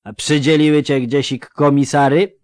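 A man's voice: fairly high pitch, little pitch movement, moderate pace, darkish tone, dry recording, studio quality, voice pushed up, lightly acted. A man voicing a drunk, boastful young country lad who talks in a slurred rustic dialect. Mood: tipsy.

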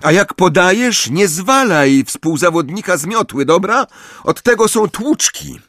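A man voicing a bossy teenage girl team captain who calls out brisk, scolding orders in an audiobook story. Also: medium pitch, huge pitch swings, fairly bright tone, no echo, studio quality, voice pushed up. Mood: commanding.

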